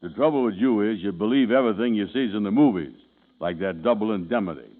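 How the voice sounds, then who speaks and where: An actor playing a slow, easygoing sidekick in a 1940s radio comedy, with a lazy drawl. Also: male voice, fairly low pitch, lively intonation. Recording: tinny old radio broadcast recording.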